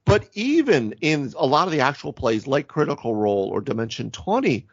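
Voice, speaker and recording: A man's voice: medium pitch, very animated, moderate pace, lo-fi sound. A man chatting casually as a podcast co-host about tabletop games, informal and slangy, often saying dude and right.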